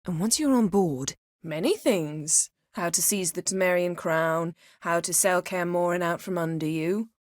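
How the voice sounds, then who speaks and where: A woman playing a haughty, sharp-tongued sorceress in a video game, speaking formally and imperiously with cutting poise. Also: on the low side, unhurried, few pauses, no room sound, clean studio sound, close to an everyday voice. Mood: composed, explanatory. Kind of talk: video game character acting.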